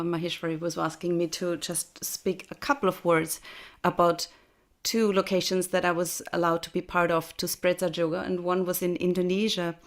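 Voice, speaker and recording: A woman from Austria speaking on a video call as a guest speaker, chatty and laughing often. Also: lowish voice. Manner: chatty, laughs often, restarts mid-phrase. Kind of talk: casual spoken reflection. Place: home in Texas, early morning.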